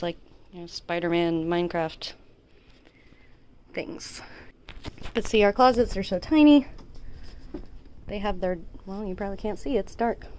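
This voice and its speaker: A young woman giving a casual tour of her small home while filming it on a phone, chatty and quick to laugh at herself. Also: medium pitch, clear, resonant tone. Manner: chatty, laughs often, self-deprecating asides. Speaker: vlogger and homeschooling mom. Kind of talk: casual house tour narration.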